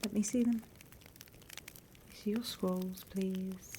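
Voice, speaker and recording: A British woman playing a sly demon instructor in a whispered ASMR roleplay, unhurried, wry and smugly scornful of humans. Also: mid-range voice, steady tone, slight background noise, voice pushed up, lightly acted. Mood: sly, amused. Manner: unhurried, hushed, teacherly, wry.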